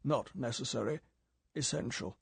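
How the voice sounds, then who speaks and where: A man's voice: medium pitch, normal speed, vocal fry, breathy edge, dry recording. A man reading an audiobook, voicing M, a stern older male spy chief who lectures in a clipped, scolding way. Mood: frosty final.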